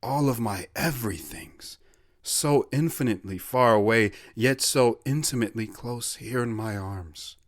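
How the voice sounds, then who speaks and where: A man's voice: mid-range voice, measured pace, dry recording, clean studio sound, natural voice. A man playing an earnest war veteran who recounts his life story in a reflective, sentimental way in an audio drama. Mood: tender.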